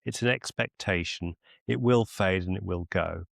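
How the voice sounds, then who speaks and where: A man's voice: low-pitched, normal speed, creaky, a bit bright, no room sound, clean studio sound. A middle-aged man mentoring in a conversational, reassuring, explanatory way. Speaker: medical mentor.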